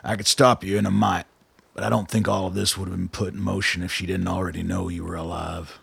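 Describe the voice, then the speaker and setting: Very gravelly, deep old colonel. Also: male voice, level tone, fairly quick, a bit bright, no echo, full character voice. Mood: firm, pressing. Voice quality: gravelly, husky. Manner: blunt, commanding, dryly pressing. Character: hard-nosed old soldier, a blunt, shrewd male colonel. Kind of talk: radio drama dialogue.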